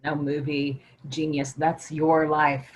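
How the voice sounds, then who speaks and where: A woman's voice: low-pitched, little pitch movement, slow, low-quality recording. An actress in a staged play reading, playing a wry, teasing, perceptive female office coworker.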